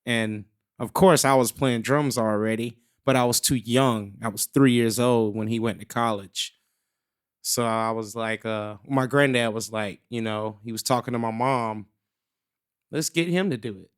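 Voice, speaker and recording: A young man talks easily about where he grew up. His voice is smooth and resonant at a middle pitch. He tells his story in a loose, rambling way. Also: a little slow. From South Carolina, USA. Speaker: guest musician. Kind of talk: casual interview chat.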